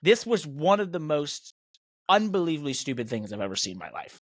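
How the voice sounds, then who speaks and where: A man's voice: on the high side, very animated, fairly quick, dry recording. Emphatic American man ranting, dry sound. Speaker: podcast host and professional race car driver. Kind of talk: opinionated motorsport podcast talk.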